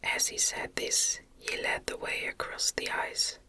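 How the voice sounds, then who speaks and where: Slow whispering woman. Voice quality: breathy, unvoiced whisper. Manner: slow, gentle, measured delivery. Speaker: audiobook reader. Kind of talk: whispered ASMR novel reading.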